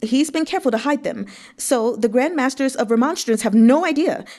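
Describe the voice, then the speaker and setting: A woman's voice: high voice, lively intonation, brisk, darkish tone, pitched above the performer's own voice. A woman voicing a terrified, fawning nobleman who stammers and pleads in a hurry. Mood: nervous pleading.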